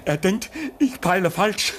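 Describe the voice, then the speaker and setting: A man's voice: sits high, animated, measured pace, a bit bright. Frantic, pleading young man, wildly swinging voice. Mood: accusing, frantic. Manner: pleading, overwrought, theatrical. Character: desperate, vain young man stranded in a polar station. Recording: noisy old radio drama recording.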